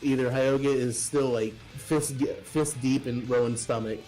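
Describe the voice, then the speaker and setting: A man playing a tabletop role-playing game, casually describing moves and thinking aloud in a halting way. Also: mid-range voice, fairly even intonation, mellow, soft background music, low-quality recording.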